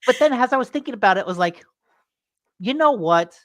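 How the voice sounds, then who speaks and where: A woman's voice: a little high, animated, fairly quick, no echo. A woman co-hosting a podcast in a casual chat, telling rambling anecdotes with many fillers and teasing her co-host.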